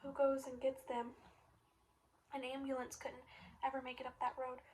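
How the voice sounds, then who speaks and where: A woman's voice: high voice, monotone, normal speed, darkish tone, distant mic, slight background noise, natural voice, rough recording. A woman reading the lines of a panicked teenage boy who pleads urgently and insistently.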